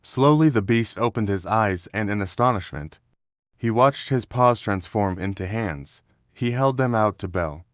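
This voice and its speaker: Male storybook narrator, scripted read, fairly deep, measured drama, no room sound. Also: expressive, no echo, clean studio sound.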